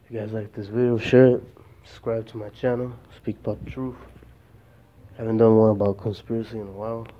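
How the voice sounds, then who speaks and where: A man talking casually as he films a handheld vlog, repeating short phrases and giving shout-outs. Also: fairly low pitch, little pitch movement, a little fast. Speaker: vlogger. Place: church back rooms, indoors. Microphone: handheld phone. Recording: muffled noisy phone recording.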